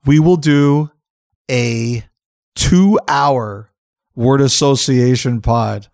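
A man co-hosting a podcast, relaxed and loose, laughing easily after a few drinks. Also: mid-range voice, drawn-out words, gravelly, no echo, clean studio sound. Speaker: podcast co-host. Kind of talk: casual chat.

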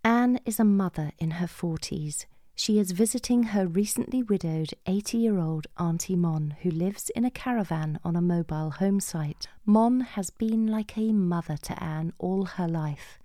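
A middle-aged woman reading a scripted podcast introduction in a measured way, hushed at phrase endings. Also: on the low side, dry recording, studio quality. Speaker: podcast host and playwright.

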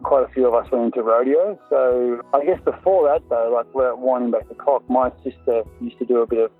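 A man's voice: mid-range voice, fairly quick. A man in his forties with an Australian accent, a guest in an interview, telling his story in an easygoing, rambling way with many ums. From North Coast of New South Wales, Australia. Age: 40s. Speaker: guest, dressage rider and coach. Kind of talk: relaxed interview chat. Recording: tinny phone-like line with faint music.

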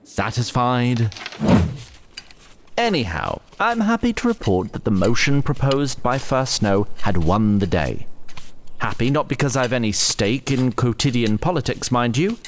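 An actor playing an arch, wry storyteller who narrates a fantasy audio drama in ornate, pompous phrasing, addressing listeners directly. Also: male voice, mid-range voice, very animated, measured pace, slight background noise. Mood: sardonic, then wry, self-satisfied.

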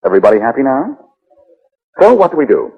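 Wisecracking 1940s radio detective, husky, lively. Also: male voice, medium pitch, quick pace, exaggerated voice. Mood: wry, wary. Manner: glib, teasing banter. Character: wisecracking, flirtatious, cool-headed male private detective. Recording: muffled, lo-fi 1940s radio recording.

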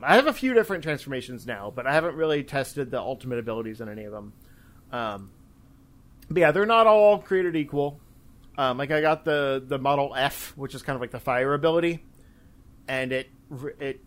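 A man's voice: medium pitch, fairly bright tone. A man chatting casually about video games as a podcast host, in a rambling, hesitant way.

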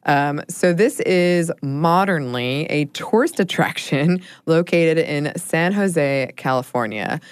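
Low-voiced American woman hosting a podcast. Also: animated, takes it slowly, few pauses, clear, resonant tone, dry recording, studio quality. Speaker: podcast host. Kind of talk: casual co-hosted podcast chat.

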